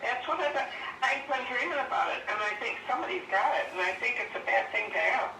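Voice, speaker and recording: An older woman chatting casually and haltingly on a phone call, rambling as she goes. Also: a little high, lively intonation, creaky, pushed and bright. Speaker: phone interviewee, former resident of the house. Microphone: phone call played over speaker. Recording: distant noisy phone speaker, echoey.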